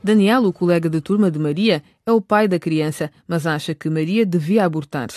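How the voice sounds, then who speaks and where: A woman's voice: lowish voice, a little fast, a little mellow. A woman narrating a radio drama from a script.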